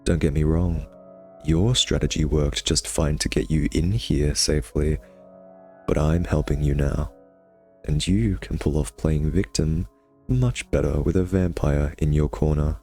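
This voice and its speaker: A man's voice: very deep voice, fairly even intonation, measured pace, mellow, soft background music. A man playing a cocky, sardonic vampire nemesis who teases and taunts the listener in an intimate ASMR roleplay. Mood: smug, teasing.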